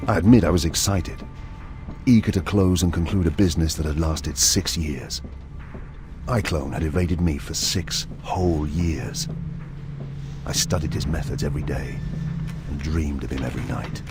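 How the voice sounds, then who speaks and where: An actor playing a cold, iron-willed inquisitor in a video game, speaking in a grave, measured, deliberate way. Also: male voice, a bass voice, steady tone, over music. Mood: grave, brooding. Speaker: protagonist and narrator. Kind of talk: scripted dramatic narration in a video game.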